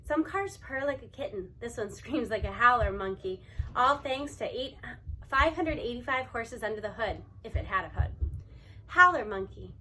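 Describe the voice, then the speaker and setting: A woman reading a children's novel aloud in an expressive, animated storytelling manner. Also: a little high, normal speed, slight background noise, low-quality recording. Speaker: audiobook reader.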